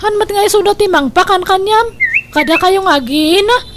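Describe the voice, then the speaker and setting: A woman's voice: very high voice, fairly quick. A woman playing a pushy, teasing older sister with an Ilocano accent in a comedy radio drama, nagging and exclaiming. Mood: coaxing, insistent. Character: pushy, teasing older sister urging younger sister toward old suitor. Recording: noisy recording.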